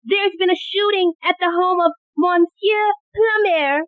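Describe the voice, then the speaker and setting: A woman's voice: very high-pitched, a little slow, no room sound. A woman acting in an audio play as an anxious, eager police secretary bringing urgent news. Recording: thin phone-like audio, very dry.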